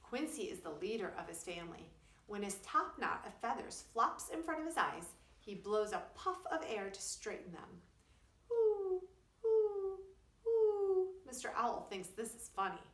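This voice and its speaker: Middle-aged female preschool teacher, animated storybook delivery with simple asides, recorded in an echoey room at a distance. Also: medium pitch, normal speed. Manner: expressive, explaining simply for young children. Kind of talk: children's read-aloud with explanatory asides. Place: small wood-panelled room indoors. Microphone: camera a few feet away. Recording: roomy, distant camera microphone.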